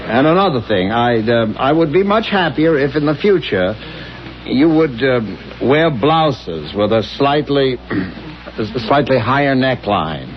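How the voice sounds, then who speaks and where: An actor playing a meek middle-aged bank teller and husband, hesitant and prim, in a 1970s radio drama. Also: male voice, mid-range voice, animated, unhurried, lightly acted. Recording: muffled old radio broadcast with music.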